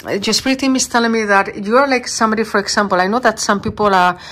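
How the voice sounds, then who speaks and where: A woman tarot reader, talking warmly and reassuringly to her viewers and sometimes searching for English words. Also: mid-range voice, steady tone, fast. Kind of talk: channeled tarot reading.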